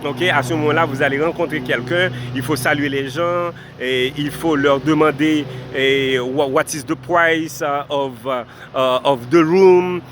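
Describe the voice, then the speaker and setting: A man's voice: on the high side, fairly even intonation, a little slow, few pauses, background noise. Man giving earnest advice outdoors. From Haiti. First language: Haitian Creole. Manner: addresses viewers formally, repeats points for emphasis. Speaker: vlogger giving life advice. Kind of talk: direct-to-camera advice talk.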